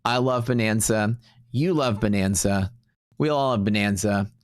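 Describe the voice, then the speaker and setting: A man's voice: fairly low pitch, moderate pace. A man hosting a live trading stream, chatting in an upbeat, neutral broadcaster manner. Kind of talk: casual live stream chat.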